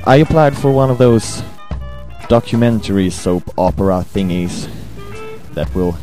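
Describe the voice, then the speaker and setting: A young Swedish man with a Swedish accent, hungover, rambling crude thoughts into a home mic in a hesitant, deadpan way. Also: sits low, unhurried. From Sweden. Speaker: amateur audio ranter. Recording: home recording with buzz and music.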